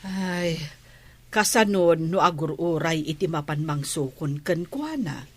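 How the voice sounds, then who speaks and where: A woman acting a concerned older female relative who advises gently in an Ilocano radio drama. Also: low-pitched, animated, measured pace.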